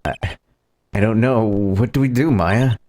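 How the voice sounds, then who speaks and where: A man's voice: on the low side, a little slow, dark tone, faint music behind, close to an everyday voice. A man voicing an earnest, stubborn young defense lawyer in a courtroom video game, speaking insistently. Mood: uneasy. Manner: declarative, insistent. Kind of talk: voiced playthrough of a courtroom game.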